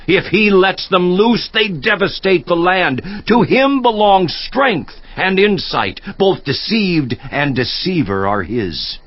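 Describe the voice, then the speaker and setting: An actor playing an anguished righteous man protesting his innocence, in a declamatory, impassioned dramatized scripture monologue that drops to hushed intensity. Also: a little high, no room sound, muffled recording, exaggerated voice.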